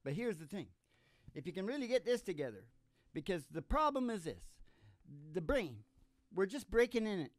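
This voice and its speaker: A man's voice: high voice, sing-song, normal speed, dry recording. An older man hosting a music podcast, bantering in a rambling, folksy, jokey way on a stage microphone. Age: older, under eighty. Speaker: podcast host and country musician. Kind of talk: rambling comic philosophical banter. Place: small curtained studio stage. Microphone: stand-mounted vocal microphone.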